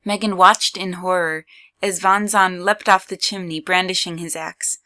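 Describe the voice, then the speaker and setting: A woman's voice: lowish voice, fairly even intonation, moderate pace, pushed and bright. A woman reading scripted third-person story narration as the narrator of an audio drama.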